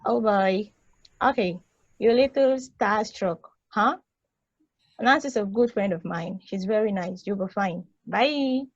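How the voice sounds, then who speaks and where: Woman acting a prim, husky space probe, muffled mic. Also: medium pitch, unhurried, dry recording. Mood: breezy, friendly. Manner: articulate, emphatic, exasperated. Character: responsible, fretful female space probe, prim elder-sister type. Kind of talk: stageplay table read.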